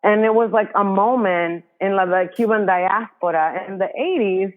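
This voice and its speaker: A woman's voice: medium pitch, normal speed. Woman author on a tinny phone-like line. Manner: earnest, thinking aloud with many fillers. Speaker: guest author. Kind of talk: casual interview talk.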